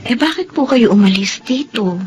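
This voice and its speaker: A woman acts as a caring young older sister in a Filipino drama. Her voice is fairly high and inquiring, with faint music underneath. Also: animated, normal speed, darkish tone, close to an everyday voice. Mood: concerned. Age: young adult. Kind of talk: Filipino radio-style drama acting.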